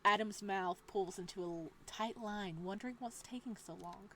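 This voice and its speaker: A woman reading a visual novel aloud as a let's play host, moving between dramatic narration and casual, expressive reactions. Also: medium pitch. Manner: expressive, exclamatory asides with laughter between measured reading.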